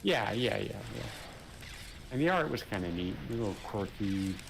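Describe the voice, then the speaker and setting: Casual male gamer, lowish voice, meandering and unsure, heavy game noise in the mix. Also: very animated, normal speed, creaky. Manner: rambling, hesitant, many fillers. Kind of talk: casual gaming chat. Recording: noisy game audio behind.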